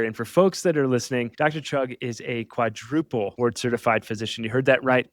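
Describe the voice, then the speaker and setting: A man's voice: medium pitch, dry recording, clean studio sound. A man hosting a podcast interview, talking in an eager, chatty way with lots of praise for his guest. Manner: enthusiastic, chatty, many "awesome" and "super cool". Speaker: podcast host.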